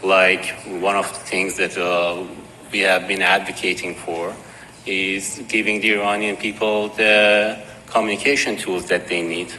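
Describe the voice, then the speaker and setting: A man with a Persian accent giving a conference presentation, measured and dotted with hesitations. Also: deep voice, flat delivery, bright, forceful tone. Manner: measured, with frequent uh fillers and restarts. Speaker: conference speaker. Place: conference hall. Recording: long hall reverb, faint noise.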